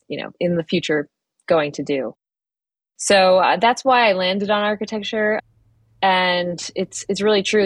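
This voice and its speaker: Woman, thirties, lowish voice, dry close recording, reflective interview guest. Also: no room sound. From Philadelphia, United States. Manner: thoughtful, with frequent restarts and "you know". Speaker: furniture designer, podcast guest.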